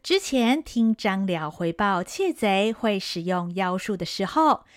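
A woman's voice: medium pitch, lively intonation, a little slow, dry recording, clean studio sound. A woman narrating a historical radio drama in a vivid, dramatic storytelling style.

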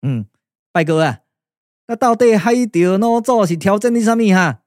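An actor with a Taiwanese accent playing a comic, boastful, loyal sidekick in puppet radio drama, earthy and full of exclamations. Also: male voice, high voice, lively intonation, moderate pace, darkish tone, dry recording, clean studio sound. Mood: puzzled. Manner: colloquial, exclamatory, drawling.

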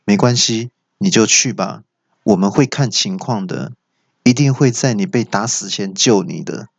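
A man voicing a calm, lightly teasing Buddhist nun in his own everyday voice. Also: on the low side, normal speed, creaky, no room sound, muffled recording.

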